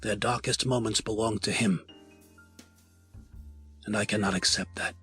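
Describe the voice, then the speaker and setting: An actor in an audio drama playing a stern, formal crime family patriarch who speaks with measured authority. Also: male voice, fairly low pitch, fairly even intonation, bright, forceful tone, breathy edge, soft background music, exaggerated voice. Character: stern, formal male mafia patriarch, commanding and controlled. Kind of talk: scripted audio drama acting.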